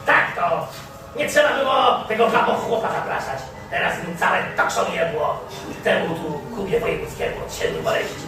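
An actor playing a vain, crude, irritable old vampire count in a live comic horror play, with a pompous, exclamatory delivery. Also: male voice, high voice, wildly swooping pitch, moderate pace, full character voice. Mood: scornful. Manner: pompous, exclamatory, theatrical ranting. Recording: echoey stage hall, distant, music behind.

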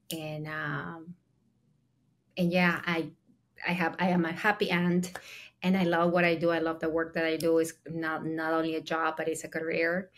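A woman's voice: deep voice, a bit bright. A woman from Peru, telling her life story as a podcast guest over a video call, earnest with many ums and restarts. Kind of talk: casual storytelling. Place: small room at home. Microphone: laptop webcam on a video call.

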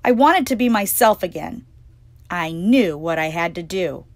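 A woman hosting storytime, reading a children's picture book aloud with expressive, clearly phrased delivery. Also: lowish voice, a little slow, dry recording. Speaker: storytime reader and host.

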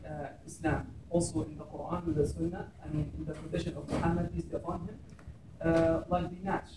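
A man in his thirties with an Egyptian accent speaks quickly at a public talk, reciting scripture and then explaining it in English. He is recorded from far off in an echoey classroom, with steady background noise. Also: a little high. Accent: Egyptian Arabic. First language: Arabic. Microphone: far camera.

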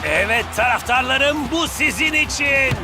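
A man's voice: extremely high pitch, measured pace. A man voicing a vain, flamboyant football star in a cartoon, boastful, theatrical and self-adoring. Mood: boastful, showy. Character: vain, flamboyant male football star promoting his own toy. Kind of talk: animated cartoon dub acting. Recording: music behind the voice.

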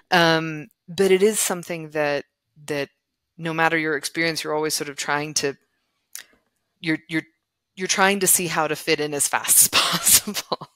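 Low-voiced novelist woman, halting. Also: bright, forceful tone, dry recording. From former Yugoslavia. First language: Serbo-Croatian. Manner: thoughtful, halting, with many restarts. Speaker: novelist guest. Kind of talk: podcast interview.

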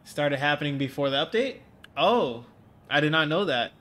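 A man's voice: a little high, lively intonation, normal speed, pushed and bright. A young man with a stuffed-up nose chatting casually with viewers while he streams a racing game from home, reading out chat and joking along. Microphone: desk mic, webcam framing.

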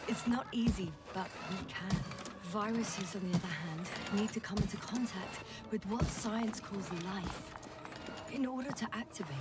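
A woman's voice: medium pitch, moderate pace, distant mic, loud music behind the voice, close to an everyday voice. An actress playing a precise, strained woman scientist on a planetary expedition in a dramatic video game. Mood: analytical, composed.

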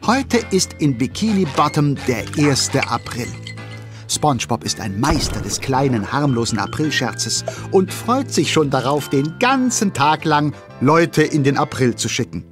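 A cheerful storyteller for a children's cartoon radio play. He narrates in a fairly high, animated voice that rises and dips with relish, over clearly audible music. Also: measured pace. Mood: cheerful, amused. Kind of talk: animated scripted storytelling for a children's audio drama.

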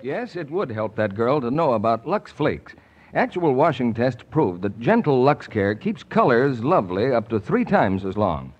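An American man announcing and pitching a sponsor in polished 1940s radio style. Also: sing-song, normal speed. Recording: muffled, noisy old radio broadcast.

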